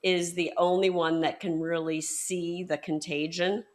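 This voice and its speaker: A woman hosting a book podcast, building her questions slowly with fillers and hesitations. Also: lowish voice, flat delivery, darkish tone. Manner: measured, hesitant with fillers while framing questions. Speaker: podcast host. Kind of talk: conversational interview.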